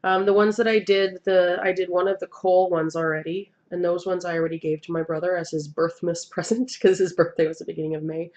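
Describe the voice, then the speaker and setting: A woman's voice: lowish voice, little pitch movement, a little fast, hardly any pauses, a little mellow. A woman in her thirties hosting a knitting video podcast from her couch, talking casually with lots of ums, sniffly from a cold. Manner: rambling, hesitant with many ums, self-deprecating. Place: living room, seated on a couch indoors. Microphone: webcam at desk distance.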